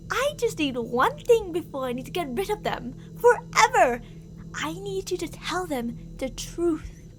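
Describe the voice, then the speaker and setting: A very high, girlish-pitched female stalker, madly jealous and possessive in an ASMR roleplay. Her delivery swings widely and theatrically between sweet coaxing and murderous menace. There is some audible noise behind the voice. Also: a bit bright, full character voice. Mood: coaxing, insistent. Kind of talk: ASMR girlfriend roleplay acting.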